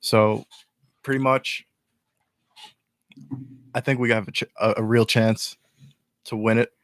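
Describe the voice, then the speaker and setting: Young man with a deep, dry voice. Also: little pitch movement, slow pace, a bit bright, dry recording. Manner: earnest, explains at length with restarts. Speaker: guest. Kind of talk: casual sports chat.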